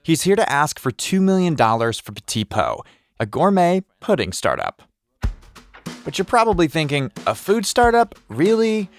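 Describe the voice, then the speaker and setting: A man hosting and narrating a podcast, delivering scripted narration in a lively, conversational storytelling way. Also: fairly high pitch, moderate pace, no echo, faint music behind.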